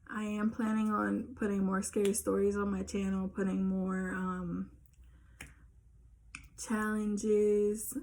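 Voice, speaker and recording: A woman's voice: mid-range voice, slight background noise. A young woman telling viewers a personal story in a casual, earnest way, talking straight to her audience. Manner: earnest, insistent, addresses viewers directly. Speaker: YouTuber.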